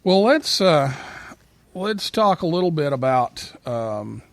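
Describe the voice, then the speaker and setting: A man's voice: fairly high pitch, sing-song, takes it slowly, creaky, dry recording. A man hosting a live call-in show, speaking as the presenter. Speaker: podcast and radio show host.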